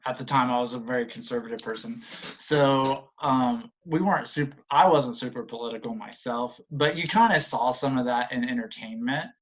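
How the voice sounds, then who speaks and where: A man in his late thirties with a medium-pitched voice talks casually as a panel guest. He is halting, and often breaks off and starts his sentences again. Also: rough recording. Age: late 30s. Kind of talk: casual chat.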